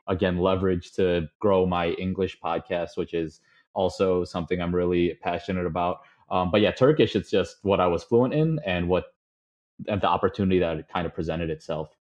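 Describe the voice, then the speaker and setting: A young man from the Chicago suburbs, chatting as a podcast guest in a relaxed, rambling way with lots of fillers. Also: low voice, normal speed. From suburbs of Chicago, USA. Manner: relaxed, rambling, many fillers, chuckles often. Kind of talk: casual interview conversation. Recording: slightly dull lo-fi audio.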